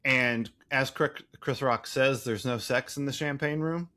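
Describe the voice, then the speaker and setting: A man's voice: mid-range voice, unhurried, bright, forceful tone. A man co-hosting a podcast, casually recapping a movie in a rambling, irreverent, profane way with wry, flippant asides. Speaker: podcast co-host.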